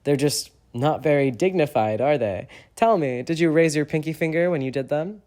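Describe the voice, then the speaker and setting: A man voicing an exuberant teenage boy wizard who teases with an expressive delivery. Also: on the high side, moderate pace, voice pushed up, close to an everyday voice. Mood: teasing. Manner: teasing, expressive.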